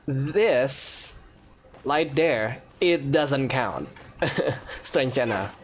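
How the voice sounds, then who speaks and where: A woman giving relaxed, chatty commentary while playing a game, explaining with giggles. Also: extremely low pitch. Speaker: gameplay commentator. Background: game music.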